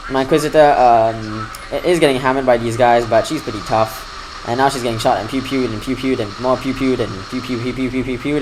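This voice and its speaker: A man at a middle pitch talks through a strategy game replay in a relaxed, homemade commentary style. His delivery is mostly even and a little monotone, but it brightens when the action heats up. A steady hiss runs under his voice throughout. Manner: fairly flat delivery that lifts when excited. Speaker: gaming channel host. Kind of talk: casual gameplay commentary.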